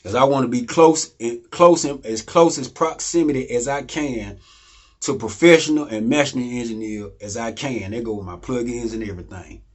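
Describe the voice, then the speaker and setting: A man, casually explaining home studio gear in a loose, rambling way that keeps asking "you know what I mean?". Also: normal speed. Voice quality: deep voice. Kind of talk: casual how-to talk.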